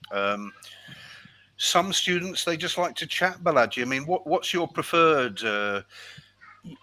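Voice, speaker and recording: A man's voice: a little high, lively intonation, measured pace, sharp tone, low-quality recording. A British man tutoring English over a video call, friendly and chatty, explaining things step by step. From United Kingdom. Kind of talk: online tutoring chat.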